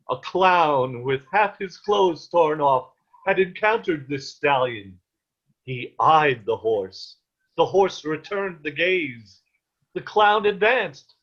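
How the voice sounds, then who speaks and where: A man performs a protective, anguished peasant father in a period drama. His voice sits slightly high and he takes his time over ornate, archaic phrases. The sound is a little dull, like an online call. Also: a bit bright, slightly rough, heavily acted. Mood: gentle storytelling. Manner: ornate old-fashioned diction. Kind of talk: dramatic table read of a period stage play. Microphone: video call.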